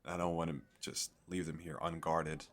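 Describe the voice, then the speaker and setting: Man playing a low-voiced, eager fantasy merchant. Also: level tone, normal speed, natural voice. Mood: coaxing. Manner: hesitant, rambling, persuasive sales patter. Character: eager, chatty male fantasy merchant selling magical crystal castles. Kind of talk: ASMR audio roleplay, spoken to the listener.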